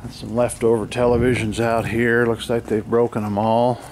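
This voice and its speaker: A man's voice: medium pitch, flat delivery, moderate pace, a little mellow. A man giving casual, off-the-cuff walking narration in a musing, observational way, as a vlogger behind a handheld camera. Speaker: vlogger filming an exploration of an abandoned motel. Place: outdoors, walking around a derelict motel lot. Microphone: handheld camera microphone, operator behind camera. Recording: noisy outdoor handheld camera, ambient roar.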